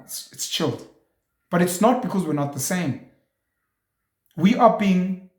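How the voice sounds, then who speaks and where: A man with a slightly high voice delivers a blunt, opinionated monologue as a show host. He pushes hard with rhetorical questions and emphatic stresses. The sound carries an echoey room.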